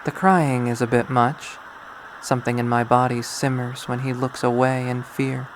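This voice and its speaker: A man reading a visual novel aloud as dramatic first-person narration, in a measured way. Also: mid-range voice, level tone. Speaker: game player reading aloud. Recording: faint background noise.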